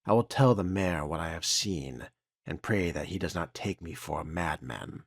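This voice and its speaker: A man playing a solemn, uneasy 1950s waterworks foreman, reading a written log in a grave, formal and measured manner. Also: sits low, animated, creaky, breathy edge, no room sound, studio quality, heavily acted. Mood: uneasy, haunted. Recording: music behind the voice.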